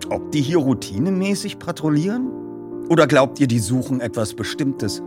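A man's voice: mid-range voice, expressive, normal speed, music in the background, pitched above the performer's own voice, natural voice. A man voicing a self-assured teenage boy detective with a precise, formal manner in an audiobook. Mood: curious.

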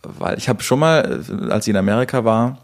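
A man's voice: fairly low pitch, lively intonation, fairly quick, creaky. A man hosting a podcast in a casual, conversational way. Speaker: podcast host.

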